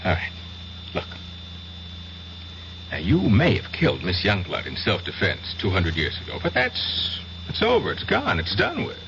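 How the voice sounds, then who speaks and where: An actor playing a skeptical, exasperated lawyer in a 1970s radio drama, questioning and reasoning firmly. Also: male voice, low-pitched, wildly swooping pitch, a little slow, creaky, pauses often, exaggerated voice. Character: skeptical, exasperated male defense attorney. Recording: muffled, hissy radio drama recording.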